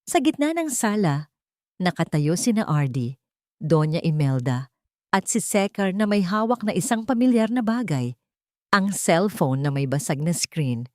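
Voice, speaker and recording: A woman's voice: lowish voice, very animated, measured pace, dark tone, no room sound, studio quality. A woman with a Filipino accent narrating a dramatic scripted story as an audiobook reader.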